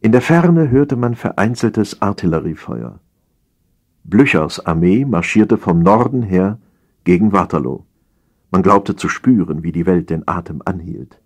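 A man's voice: low voice, darkish tone, slightly rough. A man narrating a satirical story as a dramatized audiobook reading.

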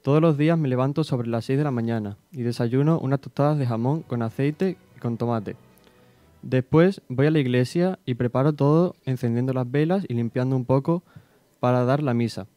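A man's voice: medium pitch, normal speed, dry recording, natural voice. Teen boy reading as a humble 18th-century priest. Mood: solemn. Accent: Spain. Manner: steady, plain reading-aloud delivery. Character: humble male village priest of the 1790s. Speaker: student reader on school radio. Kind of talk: reading diary entries in role. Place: small school radio studio. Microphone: desk microphone, headphones.

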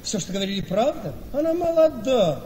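An actor playing a calculating, self-satisfied handsome gentleman in a theatrical radio play. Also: extremely high pitch, big pitch swings, a little fast, a crowd in the background. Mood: gloating, impressed. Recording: noisy, reverberant hall-like radio play recording.

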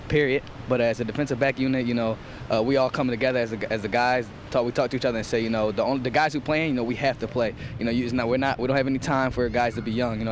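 A young man, a college football player, answering postgame questions in a halting way with many fillers. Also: medium pitch, noisy background. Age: young adult. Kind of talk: postgame interview.

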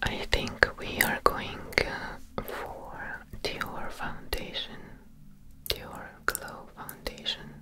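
A young woman whispering softly and breathily in an ASMR makeup roleplay, close to the microphone, in gentle, unhurried instructions. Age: young adult. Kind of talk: ASMR personal attention roleplay. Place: small indoor room, close up. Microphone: close sensitive microphone.

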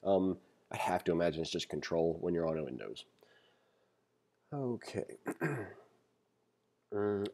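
Low, mellow man teaching code. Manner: thinking aloud, informal explanatory asides. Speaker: programming instructor. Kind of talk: casual read-along tutorial, reading aloud and commenting. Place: screen-recorded voice-over.

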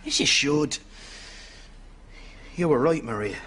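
A man's voice: a little high, animated, slow, a bit bright, slight background noise. A young man playing a contrite, earnest boyfriend in a studio sitcom.

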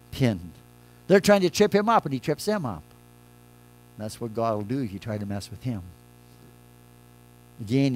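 An older man, a pastor, preaching a conversational sermon from a church pulpit, moving between loud emphatic lines and quiet soft ones. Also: lowish voice, a little hiss or hum, poor audio.

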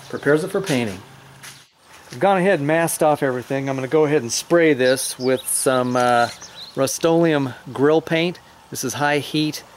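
A man in his forties hosting a casual do-it-yourself video, chatting in a folksy way while he works outdoors in a yard. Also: medium pitch. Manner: chatty, folksy, talks while working with hands. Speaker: DIY video host. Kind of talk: casual how-to demonstration. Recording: outdoor hiss and birds. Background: birds and outdoor ambience.

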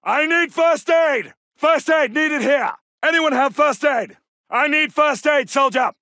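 An actor playing a brisk, gallant British Second World War soldier in a video game, with a clipped, commanding and formal manner. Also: male voice, very high-pitched, bright, forceful tone, no echo, studio quality, heavily acted. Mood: urgent, pleading. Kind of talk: military game battle barks.

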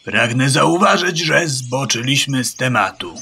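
A man's voice: medium pitch, expressive, unhurried, creaky, pushed and bright, a little hiss or hum, full character voice. A man voicing a skeptical, pompous male barnyard bird in a children's audio play, dry and fussy in manner.

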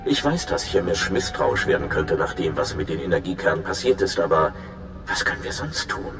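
A man's voice: deep voice, very animated, brisk, roomy sound, music in the background. An actor playing an earnest, conscientious male undercover agent in a video game, speaking in a confiding way. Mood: worried, urgent. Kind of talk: dramatic video game acting.

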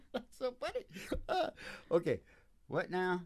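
A man's voice: high voice, huge pitch swings, slow pace, dry recording. A man in his sixties hosting a show in a folksy, rambling, chuckling way, talking casually into a close microphone. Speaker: host, country singer. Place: small curtained studio. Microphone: stand microphone up close. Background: acoustic guitar.

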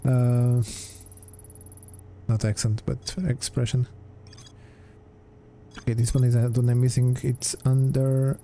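A man's voice: medium pitch, monotone, takes it slowly, long pauses, mellow, slight background noise. A man giving casual gameplay commentary, hesitantly muttering his thoughts aloud as he plays. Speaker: gamer, let's play host.

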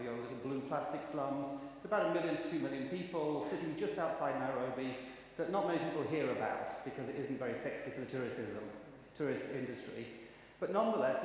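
A man in his fifties giving a conference keynote, chatty and wry, telling anecdotes to the audience. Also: a little high. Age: 50s. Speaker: keynote speaker. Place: large conference hall. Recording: echoey hall, faint ambient noise.

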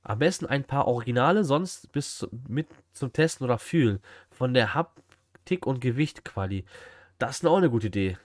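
A man's voice: mid-range voice, lively intonation, normal speed, no room sound. Casual male streamer, close dry sound. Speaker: streamer reacting to a video. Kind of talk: casual livestream reaction, reading chat. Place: indoor streaming room.

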